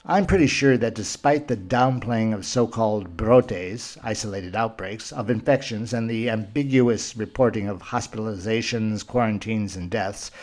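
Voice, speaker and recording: Guy with a lowish voice reading his podcast script, measured and kinda formal wording. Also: darkish tone. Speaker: podcast host. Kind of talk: scripted first-person narration.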